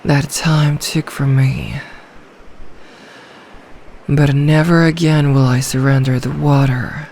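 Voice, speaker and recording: A woman voicing a lonely, ancient female sea dragon in an intimate ASMR roleplay, soft, regal and possessive, doting with quiet menace. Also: low voice, slight background noise.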